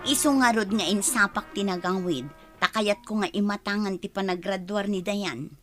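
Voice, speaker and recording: A woman's voice: medium pitch, moderate pace, a bit bright, soft background music, lightly acted. A woman playing an emotional, pleading mother full of remorse in a radio drama. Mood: tender. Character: remorseful mother returned from working abroad.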